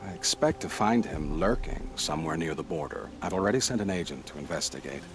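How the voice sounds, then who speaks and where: A man playing a shrewd, composed royal spymaster in a video game, speaking formally and deliberately. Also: extremely low pitch, lively intonation. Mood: cool, calculating. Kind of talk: video game cutscene acting.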